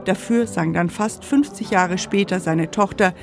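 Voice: mid-range voice, measured pace, music in the background. A narrator reading a scripted radio history feature.

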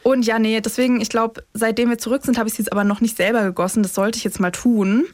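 A woman chatting casually as a podcast co-host, informal and quick to laugh. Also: on the high side, fairly even intonation, a little mellow, dry recording. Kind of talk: casual chat between co-hosts.

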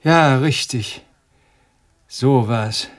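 An actor in a radio drama playing a cornered male scientist, agitated and halting, repeating himself as he panics and pleads. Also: mid-range voice. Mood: hesitant recollection. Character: cornered, panicking male molecular biologist pleading with his tormentor.

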